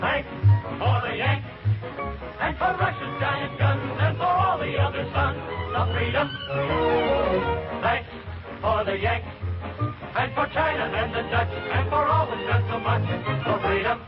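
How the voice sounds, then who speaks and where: Men singing in a patriotic 1940s chorus on a radio broadcast. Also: male voice, very high-pitched, unhurried, long pauses. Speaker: chorus singers. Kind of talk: 1940s patriotic choral song. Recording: muffled old radio music broadcast.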